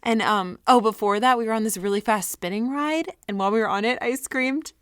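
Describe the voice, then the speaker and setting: A woman playing an excitable, self-important teenage girl who rambles dramatically through a confessional audio diary. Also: a little high, fairly quick, clean tone, no room sound, studio quality, close to an everyday voice. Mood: giddy, excited. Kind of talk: confessional audio diary monologue, naturalistic acting.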